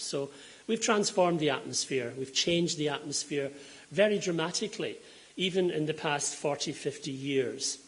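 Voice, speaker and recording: A man with a Scottish accent shaped by Donegal roots, giving a public lecture on climate to an audience, explaining slides with ums and self-corrections. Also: on the high side. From Scotland. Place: lecture hall before an audience.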